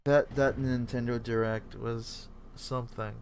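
A man's voice: mid-range voice, little pitch movement, measured pace, a little mellow, soft background music. A man streaming a video game, chatting casually in a laid-back, rambling way and swearing freely. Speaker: gaming streamer.

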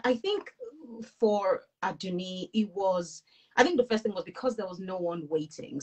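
A woman's voice: lowish voice, low-quality recording. Modest young woman author from Nigeria. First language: Yoruba. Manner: modest, laughing, thoughtful. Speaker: author guest. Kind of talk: casual interview. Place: living room with couch. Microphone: video call.

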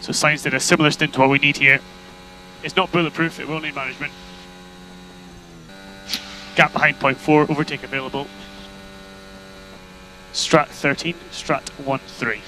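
Clipped, bright-voiced male race engineer on radio. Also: a little high, little pitch movement, normal speed, long pauses. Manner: terse, clipped, repeats instructions. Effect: team radio. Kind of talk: motor racing team radio instructions. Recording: noisy team radio with electrical hum.

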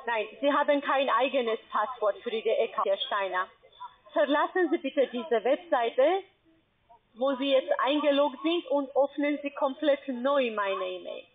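High-voiced woman on a tinny phone line. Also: measured pace. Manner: instructing step by step, broken grammar. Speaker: scam call center agent. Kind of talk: phone call.

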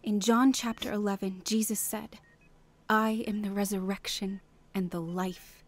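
An actress playing a gentle, reassuring woman of faith in a radio drama, speaking tenderly and earnestly. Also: mid-range voice, fairly even intonation, unhurried, lightly acted.

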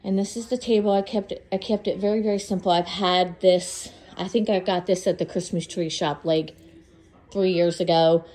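A woman's voice: on the low side, fairly even intonation, moderate pace, mellow. A woman getting over a cold, sounding a little congested, chatting casually as she films a home tour and says where she bought each item. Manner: chatty, listing where items were bought. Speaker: home vlogger. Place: house interior, walking room to room. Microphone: handheld phone camera.

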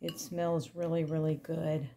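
A woman's voice: deep voice, level tone, a little slow, gravelly, warm tone, slight background noise. A middle-aged woman casually narrating her home cooking, chatty and homey. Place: home kitchen.

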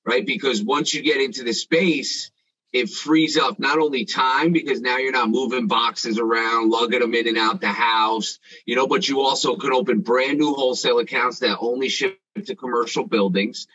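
A man's voice: mid-range voice, steady tone, moderate pace, sharp tone, husky, no echo, dull audio. A man in his thirties coaching business owners on a video call, talking in a confident, emphatic way. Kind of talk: casual group video call. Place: dim room at home.

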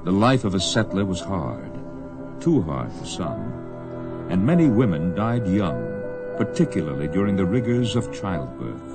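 A man's voice: sits low, full character voice. A man narrating a radio western as a solemn storyteller, with music behind him. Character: solemn storyteller of an Old West tale.

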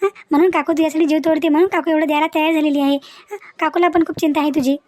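A woman's voice: sits high, steady tone, very fast, darkish tone, dry recording, lightly acted. A woman playing an outspoken, lecturing young niece in a family cartoon. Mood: consoling. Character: outspoken young niece scolding dowry-seeking elders. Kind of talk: cartoon family drama dialogue.

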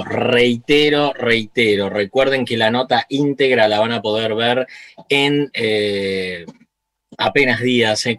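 A bright-voiced Argentine man in his fifties hosts from a home study, speaking to a webcam in an upbeat presenter style. The sound is dry and close, with no room echo. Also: medium pitch. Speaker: radio show host. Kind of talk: interview host, then sign-off to camera.

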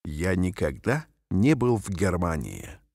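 A man reading short phrases aloud slowly and carefully, each one repeated, for language learners. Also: sits low, big pitch swings, a little mellow, no echo. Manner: careful, clearly spaced phrases. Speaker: language teacher. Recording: dry studio voice-over.

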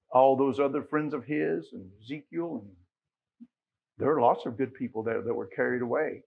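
A middle-aged man with an American accent preaching a sermon in a folksy, conversational way, leaning on emphatic rhetorical questions, with a husky voice. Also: mid-range voice, muffled recording. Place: church sanctuary, at a pulpit.

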